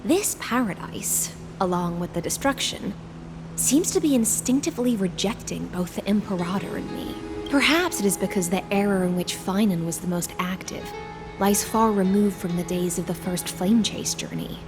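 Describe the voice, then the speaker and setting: A woman's voice: medium pitch, animated, moderate pace, music in the background, close to an everyday voice. An actress playing a loyal, earnest female knight who speaks with courtesy. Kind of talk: video game voice acting. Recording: game soundtrack behind.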